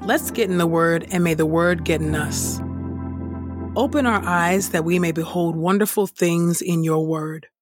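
Lowish-voiced woman announcing over music. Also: a little slow, dry recording. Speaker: podcast announcer. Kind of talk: scripted podcast intro.

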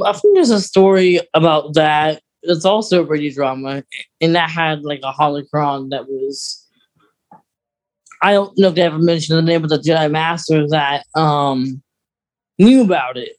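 Mid-pitched podcast co-host musing casually. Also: expressive, a little slow, low-quality recording. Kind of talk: casual chat about Star Wars lore.

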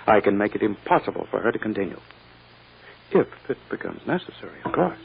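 An actor playing a smug, ruthless lawyer in a 1940s radio drama, speaking in a pompous, condescending, deliberate way. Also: male voice, fairly low pitch, big pitch swings, creaky, slight background noise, exaggerated voice. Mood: coldly menacing. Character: smug, ruthless, silky male prosecuting lawyer. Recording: muffled old-time radio recording.

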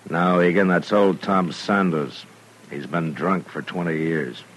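A stern, weary frontier lawman in a 1950s radio western. His voice is extremely deep and his delivery terse and commanding. A faint noise sits on the recording. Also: steady tone, unhurried, fairly bright tone. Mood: dry, weary.

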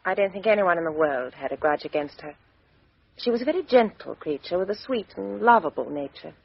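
An actress playing a composed, outspoken young Englishwoman with a crisp, frank upper-class delivery in a 1950s radio drama. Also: on the low side, expressive, fairly quick, heavily acted. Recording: dull 1950s radio recording.

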